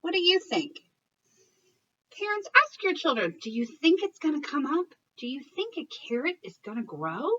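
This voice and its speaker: Female early-years storyteller, higher register, expressive and encouraging read-aloud delivery, dull lo-fi home sound. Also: normal speed, distant mic. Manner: expressive, encouraging, questioning, addressing children and parents. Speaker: early childhood educator reading stories. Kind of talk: children's picture-book read-aloud with commentary.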